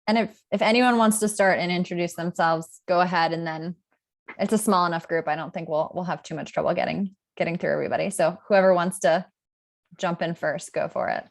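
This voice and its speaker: A woman's voice: fairly low pitch, fairly even intonation, brisk. A woman hosting an informal virtual gathering over a video call, inviting people to speak. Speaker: host of a virtual alumni gathering. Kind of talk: informal meeting facilitation.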